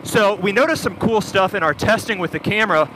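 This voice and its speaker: A man's voice: sits high, fairly quick. A man riding a motorcycle, explaining gear features in detail, matter-of-fact, over a helmet intercom. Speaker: video producer reviewing gear. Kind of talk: explanatory casual chat. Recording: intercom audio with wind and engine noise.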